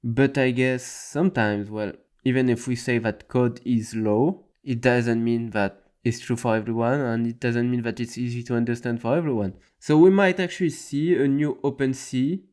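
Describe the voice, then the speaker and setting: A man's voice: medium pitch, steady tone, normal speed, few pauses, a little mellow. A man hosting a casual tech news talk, rambling loosely with frequent "I mean" and restarts. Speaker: host.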